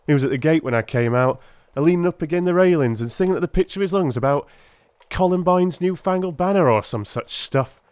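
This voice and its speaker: A man's voice: fairly high pitch, lively intonation, a little fast, a little mellow, clean tone, dry recording, pitched above the performer's own voice, lightly acted. A man as a touchy police constable. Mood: amused. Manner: colloquial, aggrieved, blunt. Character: plain-spoken, touchy male London police constable.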